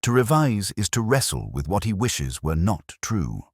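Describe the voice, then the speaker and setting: A man narrating a literary detective story in the first person, in a measured, reflective way. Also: fairly low pitch, sing-song, slightly rough, dry recording, clean studio sound. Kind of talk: scripted literary mystery narration.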